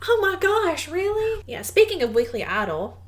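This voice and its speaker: A woman's voice: very high-pitched, very animated, moderate pace. A woman with a thick Southern drawl chats loosely as a podcast co-host. She talks at an easy, rambling clip and laughs often. She stumbles over names. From American South. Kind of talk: casual chat.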